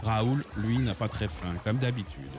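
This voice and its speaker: A man narrating a cartoon in a scripted, gently ironic storytelling manner. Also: fairly low pitch, animated, a little slow. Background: music.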